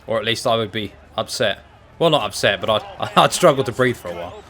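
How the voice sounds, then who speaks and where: A young man casually commentating a fight video game, excitable and breaking into shouts at big moments. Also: fairly low pitch, fairly quick.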